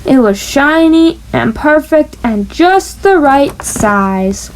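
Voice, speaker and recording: A woman reads a picture book aloud to children in a high, lively voice. Her delivery is slow and swings widely in pitch. She plays up every sound-effect word. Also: slight background noise, poor audio. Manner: playful, stressing sound-effect words. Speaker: storybook reader. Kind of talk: animated children's storybook read-aloud.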